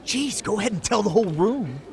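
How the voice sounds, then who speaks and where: High-pitched, hot-headed college punk. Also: male voice, sing-song, fairly quick, creaky, heavily acted. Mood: smug. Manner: slangy, defensive, swaggering. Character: brash young college punk, hot-headed male gambler and swindler. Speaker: voice actor in a video game. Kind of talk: dramatic game cutscene dialogue, English dub.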